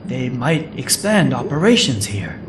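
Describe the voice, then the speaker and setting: An actor playing an earnest, worried team leader and strategist in a video game cutscene. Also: male voice, medium pitch, very animated, a little slow. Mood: explaining. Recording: clearly noisy ambient background.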